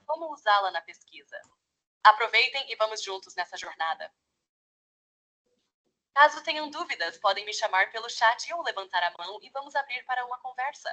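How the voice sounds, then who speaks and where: A synthetic woman's voice of a virtual assistant avatar reads a scripted class welcome smoothly and evenly. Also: on the high side, animated, fairly quick, pushed and bright, low-quality recording. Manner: smooth, evenly read delivery.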